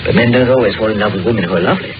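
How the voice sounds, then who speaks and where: An actor playing an imaginative, dryly amused small-town doctor who muses like a storyteller in an old-time radio drama. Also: male voice, lowish voice, background noise, natural voice. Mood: musing, wry. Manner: musing, storytelling, deliberate phrasing. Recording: muffled vintage radio recording with music.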